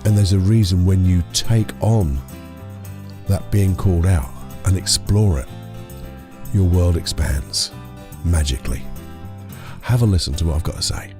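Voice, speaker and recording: A man with a croaky voice hosting a casual solo podcast, chatty and rambling, correcting himself as he goes. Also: low voice, measured pace, over music. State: croaky voice from a lingering cold. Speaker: podcast host and hypnotherapist.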